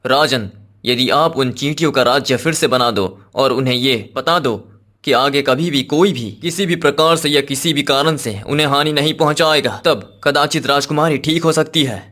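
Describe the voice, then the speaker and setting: A man voicing a solemn, measured holy sage. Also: mid-range voice, sharp tone, close to an everyday voice. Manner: formal, measured, Sanskritized diction. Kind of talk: cartoon moral-story acting.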